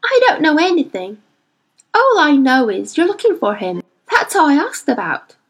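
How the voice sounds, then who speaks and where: A woman voicing a fierce, defiant young girl who talks bluntly and roughly in an audiobook. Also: high voice, very animated, moderate pace, voice pushed up, rough recording. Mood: earnest. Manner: blunt, rough informal speech. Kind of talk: audiobook character voice.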